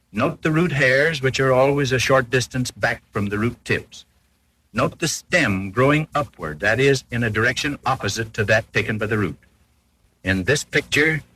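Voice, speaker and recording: A man narrating a 1940s educational film in a formal, didactic, carefully explanatory way, with a slightly rough, husky voice, on an old film soundtrack. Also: medium pitch, pushed and bright, low-quality recording.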